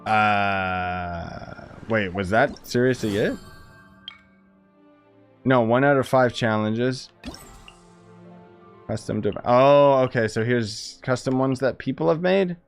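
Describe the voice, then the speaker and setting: A man's voice: medium pitch, slow, long pauses, clear, resonant tone, soft background music. A man giving casual, slangy live commentary as he plays a game and chats with viewers. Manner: informal, slangy, exclaims and chuckles while playing. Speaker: gaming streamer.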